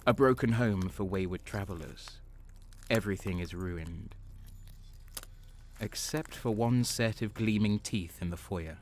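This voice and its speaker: An actor playing a bitter, sardonic renegade soldier, narrating and speaking in a dark sci-fi audio drama with a brooding, cynical manner. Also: male voice, lowish voice, a little slow. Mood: grim narration.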